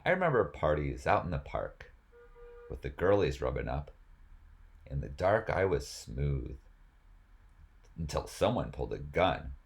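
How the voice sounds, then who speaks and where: A man's voice: rumbling low, very animated, measured pace, creaky, pauses often. A man reading rap lyrics aloud as spoken word, as host of the reading.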